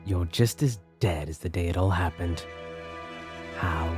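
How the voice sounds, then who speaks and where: A man playing a sadistic killer in an audio drama, taunting his victim with slow menace. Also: deep voice. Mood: cold, mocking. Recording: loud music under the voice.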